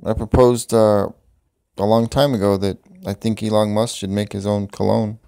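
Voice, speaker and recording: A man's voice: fairly low pitch, flat delivery, moderate pace, vocal fry, clean tone. A man talking off the cuff, rambling and swearing, with a voice-over over music. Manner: profane, rambling, laughs at own jokes. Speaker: vlogger. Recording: voice over loud background music.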